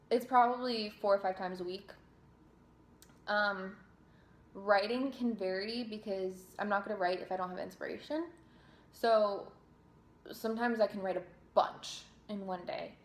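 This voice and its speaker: Chatty young woman in a roomy echo. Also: medium pitch. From New Jersey. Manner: chatty and self-deprecating, with fillers and restarts. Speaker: singer and vlogger. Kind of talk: casual Q&A reading viewer questions.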